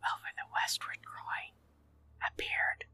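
Slow whispering woman reading a story. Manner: soft unvoiced whisper throughout, slow and measured. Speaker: narrator. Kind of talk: whispered ASMR audiobook reading.

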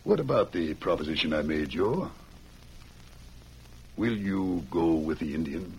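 An actor playing an earnest, firm but kind prison warden in the Old West, in a measured radio western style. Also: male voice, low voice, expressive, a little mellow, a little hiss or hum, poor audio. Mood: earnest, persuading. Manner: persuasive, measured, often addresses listener by name.

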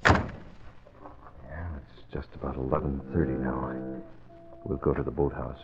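An American actor playing a decisive, commanding young masked crime fighter in an old radio serial. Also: male voice, extremely low pitch, little pitch movement, unhurried, over music. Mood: purposeful. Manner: urgent, authoritative, decisive. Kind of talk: 1940s radio acting. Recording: muffled, noisy old radio recording.

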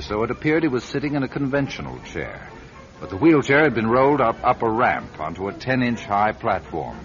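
A man narrating a radio drama in a grave, deliberate storytelling manner. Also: lowish voice, fairly bright tone. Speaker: narrator and writer-director. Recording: dull lo-fi radio recording.

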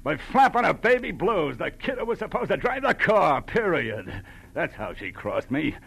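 Raspy small-time crook, slangy and defensive, voice jumping around, old radio drama. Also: male voice, a little high, big pitch swings, normal speed, a bit bright, full character voice. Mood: bitter, defiant. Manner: stammering, slangy, sneering. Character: slick, cornered crook, defensive and bitter. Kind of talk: 1940s radio crime drama acting.

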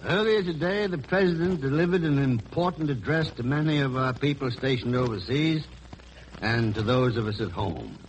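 A man's voice: mid-range voice, expressive, normal speed, a little hiss or hum, low-quality recording. An American man narrating solemnly and formally in the oratorical style of a 1940s radio announcer. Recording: old 1940s radio, music behind. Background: music.

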